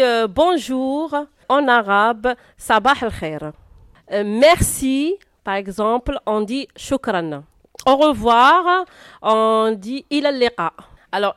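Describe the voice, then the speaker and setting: Hesitant woman, clear voice. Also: a little high, no echo, clean studio sound. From Algeria. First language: Arabic. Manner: hesitant, frequent filler pauses. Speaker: interview guest. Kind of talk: answering a class's questions.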